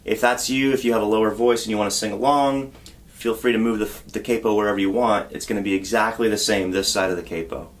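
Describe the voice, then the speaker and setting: Deep-voiced man teaching guitar. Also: fast talker. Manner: relaxed, patient step-by-step instruction, counting beats aloud. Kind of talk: guitar tutorial. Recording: loud guitar under the voice. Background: acoustic guitar playing.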